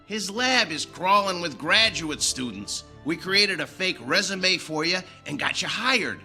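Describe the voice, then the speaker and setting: A very forceful, tense-voiced editor in a radio drama. He is sardonic and impatient, pitched a little high and lively, with faint music underneath. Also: a little slow, heavily acted. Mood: impatient, commanding. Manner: gruff, sardonic, bossy. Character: brusque, impatient male newspaper editor giving assignments.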